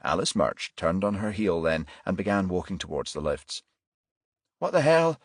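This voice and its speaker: A man's voice: sits low, moderate pace, no room sound, clean studio sound. A man reading a crime novel as an audiobook narrator in a scripted storytelling style.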